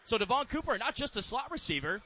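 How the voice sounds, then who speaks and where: A man's voice: very high voice, big pitch swings, quick pace, bright, forceful tone, slight background noise. A man with an American accent giving live radio play-by-play of American football in clipped, descriptive phrases.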